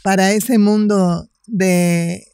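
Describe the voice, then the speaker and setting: Female podcast guest, 60s, Dominican accent, conversational storyteller with halting delivery, recorded dry. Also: mid-range voice, fairly even intonation, dark tone, smooth, dry recording. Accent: Dominican Spanish. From Dominican Republic. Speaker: podcast guest, writer and cultural promoter.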